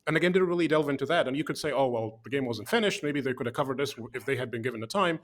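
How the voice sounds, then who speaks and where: A man co-hosting a casual video chat about games, talking at length and acting out quotes in a raised voice. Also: very fast. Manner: animated, acts out quotes in a higher voice. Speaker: podcast co-host.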